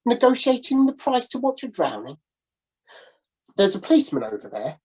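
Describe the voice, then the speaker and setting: A woman voicing a stiff, proper gentleman in his late fifties who is easily shocked. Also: on the high side, big pitch swings, moderate pace, breathy edge, pitched above the performer's own voice, poor audio. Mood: indignant.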